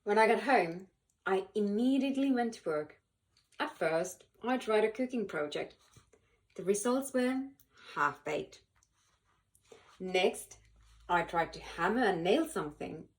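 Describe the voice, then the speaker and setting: A woman reading a children's picture book aloud in an animated, expressive way, with dramatic pauses and emphasis. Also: medium pitch, low-quality recording. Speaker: volunteer children's book reader.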